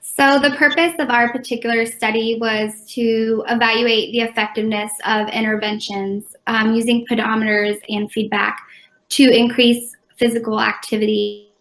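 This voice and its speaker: A young woman from Indiana speaks in a slightly high voice as she presents her part of a class project. She reads plainly, with an occasional "um". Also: little pitch movement, normal speed, fairly bright tone, echoey room, low-quality recording. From Valparaiso, Indiana, USA. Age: young adult. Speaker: student presenter.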